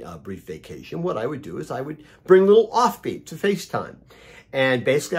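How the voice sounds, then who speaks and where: A middle-aged or older man speaks casually, as a video host recommending something to followers. His voice is a little rough and husky, and it moves up and down in lively fashion. He leans on key words for emphasis. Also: mid-range voice. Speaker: host of a daily video blog. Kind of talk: casual, conversational book recommendation monologue.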